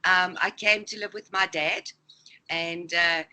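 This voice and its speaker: Bright-voiced Greek-born woman coach. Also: fairly low pitch, steady tone, takes it slowly, smooth, rough recording. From Greece. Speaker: life coach guest. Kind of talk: casual interview.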